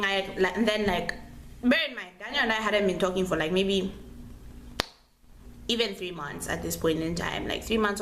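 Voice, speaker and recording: A young woman vlogger tells a story in a casual, chatty way. She is slangy and dramatic and repeats herself for emphasis. Her medium-pitched voice echoes in the room over faint background noise. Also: a bit bright. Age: young adult. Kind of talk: casual animated storytelling to camera. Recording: roomy echo, clear background noise.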